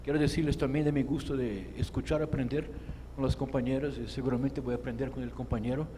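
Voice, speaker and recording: Brazilian academic lecturing in an echoey hall. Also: male voice, medium pitch, steady tone, fast, mellow. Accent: Brazilian Portuguese. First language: Portuguese. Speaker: academic conference speaker. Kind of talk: formal lecture read from a text. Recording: echoey hall with clear background noise.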